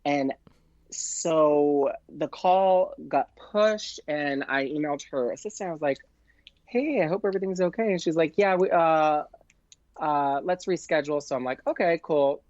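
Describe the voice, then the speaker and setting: A man's voice: fairly high pitch, measured pace, no room sound. Gushing, chatty man on a dull, dry recording. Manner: gushing, excitable, frequent "like", acts out dialogue. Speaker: podcast guest, performer. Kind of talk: casual anecdote, chatty storytelling.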